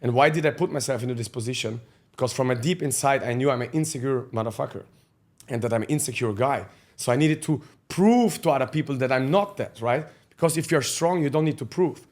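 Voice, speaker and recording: A man's voice: medium pitch, fairly quick. A man. He is a podcast guest telling his life story candidly in blunt, profane English with loose grammar. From Austria. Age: 30s. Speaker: guest, fight coach.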